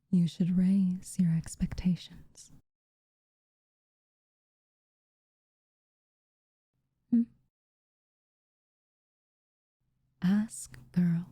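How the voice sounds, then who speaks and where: Woman acting as a hushed, mellow, aloof witch. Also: on the low side, dry recording, exaggerated voice. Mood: dry, gently firm. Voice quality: soft, breathy, often near a whisper. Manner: unhurried, hushed, dryly teasing. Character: aloof, teasing, secretly caring young witch woman. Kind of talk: ASMR roleplay, soft intimate character acting.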